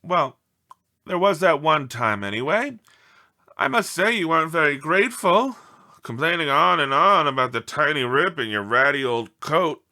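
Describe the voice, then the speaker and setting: A man's voice: fairly high pitch, animated, moderate pace, pushed and bright, exaggerated voice. A man voicing an indignant, self-pitying pet dog in a theatrical storybook read-aloud. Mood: put-upon, dramatic. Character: aggrieved melodramatic pet dog writing pleading letters home.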